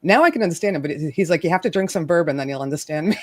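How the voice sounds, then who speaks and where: A woman's voice: deep voice, expressive, racing, dry recording. A woman hosting a live stream, bubbly and teasing, laughing often as she chats with guests. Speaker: livestream host. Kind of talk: casual live-stream chat.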